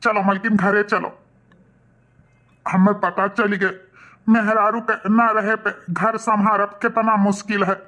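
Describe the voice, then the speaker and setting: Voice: medium pitch, little pitch movement, unhurried, pauses often, pushed and bright, muffled recording. A voice actor playing a remorseful village husband and son in a cartoon, earnest and humbly pleading, with an Awadhi accent. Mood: pleading, apologetic.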